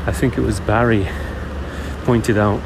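Hesitant man calling in over loud background noise. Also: on the low side, steady tone, gravelly. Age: middle-aged. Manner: hesitant, thinking aloud with frequent pauses. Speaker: podcast caller. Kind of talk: casual voice message. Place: on the way to work.

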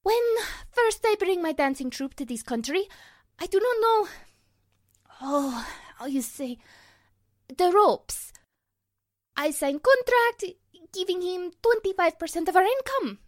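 Haughty, very high-voiced Spanish prima ballerina. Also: female voice, big pitch swings, unhurried, exaggerated voice. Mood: bitter, defensive. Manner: imperious, dramatic, broken English. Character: haughty, fiery foreign prima ballerina, easily offended. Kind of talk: 1940s radio drama acting.

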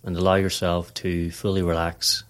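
A man narrating a scripted guided meditation in a calm, measured, instructional manner. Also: low voice.

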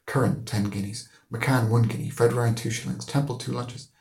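A man's voice: medium pitch, steady tone, normal speed, gravelly, darkish tone. A man with an Irish accent reading literary narration as an audiobook reader. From Ireland. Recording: roomy echo.

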